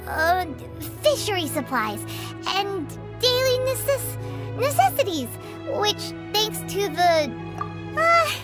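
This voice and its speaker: A tiny floating fairy guide with an extremely high, squeaky voice. She is excitable and childlike, swinging up and down in pitch and rambling when flustered, in video game style over prominent music. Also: exaggerated voice. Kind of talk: video game character acting. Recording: music behind the dialogue.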